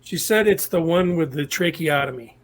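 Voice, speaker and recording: A man in a comedy sketch, speaking in a quick, matter-of-fact way. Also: on the high side, expressive, slight background noise.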